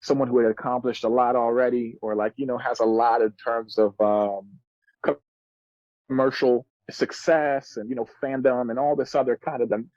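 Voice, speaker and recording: A man's voice: medium pitch, steady tone, moderate pace, darkish tone, dry recording, highs cut off. Dry-sounding, laid-back man in his thirties. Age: 30s. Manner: laid-back slangy speech, earnest and reflective. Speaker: musician guest. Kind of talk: casual podcast storytelling.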